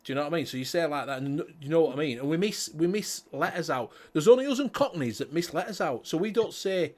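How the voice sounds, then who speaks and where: Brief: male host with a Leeds Yorkshire accent, slightly higher voice, loose and sweary, circles back on himself. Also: animated, fast, a little mellow. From Leeds, England. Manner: rambling, sweary, repeats himself. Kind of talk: casual livestream chat.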